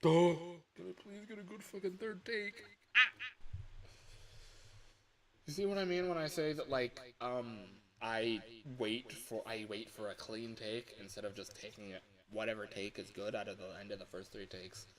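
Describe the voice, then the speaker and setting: A man's voice: mid-range voice, flat delivery, moderate pace, rough recording. Grumbling, tired man chatting on a livestream. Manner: grumbling, self-critical, sweary. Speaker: livestreamer recording game voice lines. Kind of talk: casual livestream chat, self-commentary.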